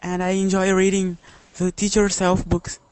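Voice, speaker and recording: A man's voice: high voice, monotone, moderate pace, darkish tone, clear, resonant tone, no echo, low-quality recording. Brazilian man giving short, hesitant answers. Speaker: student. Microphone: video call.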